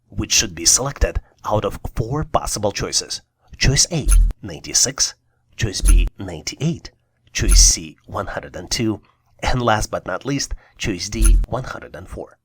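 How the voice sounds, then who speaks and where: A man with a fairly low voice narrates a scripted puzzle lesson. He talks straight to the listener in the encouraging way of a test-prep instructor. There is some noise behind his voice. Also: a bit bright. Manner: encouraging, addresses the listener directly.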